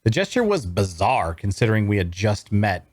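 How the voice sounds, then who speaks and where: Low-voiced man playing a fantasy traveler, close and dry. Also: big pitch swings, moderate pace, a little mellow, dry recording. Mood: wry, observant. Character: wry young male traveler narrating his fantasy adventure. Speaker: voice actor. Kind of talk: first-person scripted narration at a table read.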